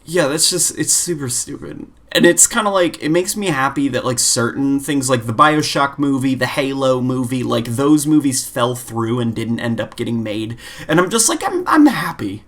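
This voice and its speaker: A man's voice: mid-range voice, lively intonation, moderate pace, few pauses, fairly bright tone. A man co-hosting a podcast in casual gaming chat, talking in an informal, opinionated, rambling way peppered with "like". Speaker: podcast co-host.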